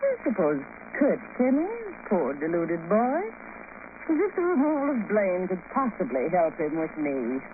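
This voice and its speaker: A woman's voice: lowish voice, very animated, normal speed. An actress playing a haughty, flirtatious rich woman in a 1950s radio drama, theatrical and airily condescending. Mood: airy, disdainful. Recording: muffled, noisy 1950s radio recording.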